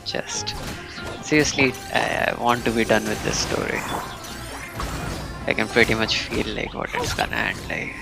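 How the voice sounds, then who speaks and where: A man giving casual live gameplay commentary, halting and musing aloud as he streams. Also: medium pitch, vocal fry. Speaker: game streamer. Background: game music.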